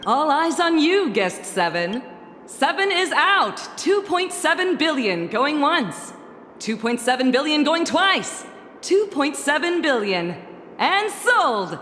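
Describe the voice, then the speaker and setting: A woman voicing a poised, formal female auctioneer in a video game cutscene, announcing in a measured way. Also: sits high, very animated, a bit bright, slight background noise, exaggerated voice. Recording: studio voice with long reverb and music.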